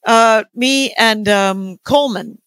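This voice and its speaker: A woman comedian chatting casually on a podcast, her voice scratchy and tired, with a blunt, deadpan way of talking. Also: a little high, slow, smooth, dry recording, studio quality. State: hoarse, tired. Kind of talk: casual chat.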